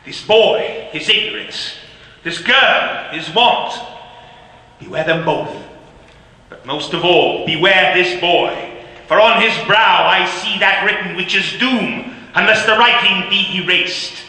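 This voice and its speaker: Solemn ghost: slow, bright, reverberant. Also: male voice, high voice, full character voice. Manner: solemn, measured. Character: solemn ghostly spirit guiding a miser. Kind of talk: 1940s radio acting. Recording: echoey old radio broadcast with long reverb.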